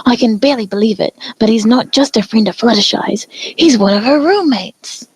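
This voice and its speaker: An excitable cartoon pony sports commentator voiced by a woman, in broadcast announcing style. The voice is fairly high with a husky edge, recorded dry and close. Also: normal speed, no room sound, poor audio. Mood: chatty commentary.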